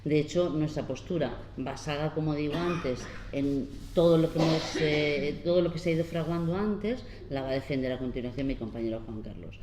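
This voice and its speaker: A woman in her fifties from La Mancha gives an earnest, unhurried public talk as a panel speaker, pausing often as she explains. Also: low-pitched, warm tone. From La Mancha, Spain. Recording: roomy hall with faint background noise.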